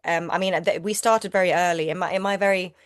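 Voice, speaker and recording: Swedish-native actress in an interview, low-pitched, chatty, restarts a lot, dry close sound. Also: female voice, steady tone, quick pace, clean tone, no echo. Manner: chatty, many restarts and repeated words. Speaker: actor guest. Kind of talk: casual interview chat.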